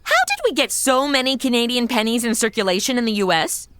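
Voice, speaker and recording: A woman's voice: fairly high pitch, fast talker, a bit bright, clean tone, no room sound, natural voice. A woman playing a sassy, sarcastic modern wisecracker in a comedic audio drama. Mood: wry. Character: sassy modern American woman among medieval outlaws.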